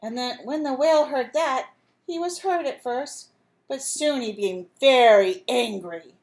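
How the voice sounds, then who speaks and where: A woman reading a storybook aloud as a teacher would, pausing to put simple questions and instructions to young listeners. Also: high voice, a little mellow. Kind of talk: storybook read-aloud with asides to children.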